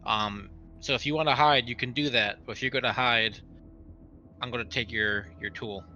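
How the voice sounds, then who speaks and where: A man's voice: medium pitch, fairly even intonation, moderate pace, sharp tone. A man talking casually as a player in a tabletop roleplaying game, partly speaking for his character. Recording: dull, lo-fi voice pickup.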